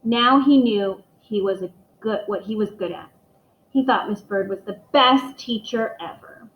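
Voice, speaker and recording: A woman teacher reading a picture book aloud to young children, expressive and speaking to them directly. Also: mid-range voice, a little slow, slight background noise. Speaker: elementary school teacher reading aloud to pupils. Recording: echoey room, dull muffled microphone.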